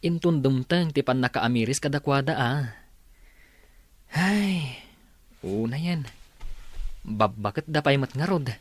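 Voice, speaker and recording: A man's voice: medium pitch, animated, measured pace, long pauses, dry recording. An Ilocano-accented man playing a sensible grown son who earnestly admonishes in a radio drama. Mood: resigned.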